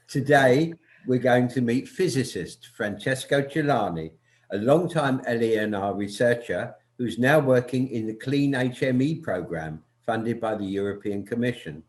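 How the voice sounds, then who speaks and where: A man of middle pitch opens a talk show as co-host and introduces the guest in a smooth, scripted way. He speaks over a video call. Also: animated, measured pace.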